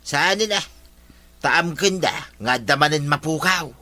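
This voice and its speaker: A man's voice: on the high side, measured pace, bright, forceful tone. A man playing a nagging, reproachful village man in a radio drama.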